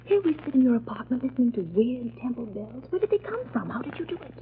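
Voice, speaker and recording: A woman's voice: on the high side, big pitch swings, fast talker, a little hiss or hum. An actress playing a crime fighter's devoted, anxious lady companion, earnest and concerned, in an old-time radio drama. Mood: curious, uneasy. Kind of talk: 1930s radio acting. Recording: tinny, band-limited old radio recording.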